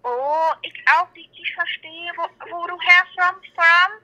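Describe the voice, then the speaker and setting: A woman's voice: very high-pitched, steady tone, unhurried, bright, forceful tone, dull audio. A woman caller in a random chat, speaking broken German in a giggly, playful way. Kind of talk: casual phone chat.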